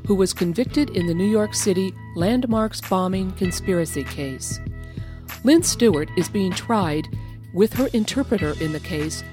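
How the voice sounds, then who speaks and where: A woman hosting a radio interview, giving measured, formal introductions before questioning her guest. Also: mid-range voice. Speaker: radio host.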